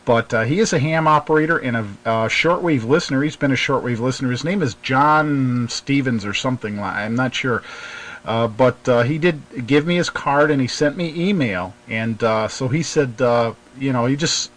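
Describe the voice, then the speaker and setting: A man's voice: mid-range voice, normal speed, a bit bright. A man hosting a radio show, chatting casually and telling anecdotes in an affirming, conversational way.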